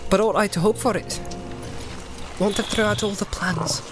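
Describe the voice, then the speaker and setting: A man's voice: high-pitched, fairly even intonation, moderate pace, over music, voice pushed up, natural voice. A man voicing a small, frightened, self-pitying young halfling captive for a fantasy audiobook. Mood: miserable.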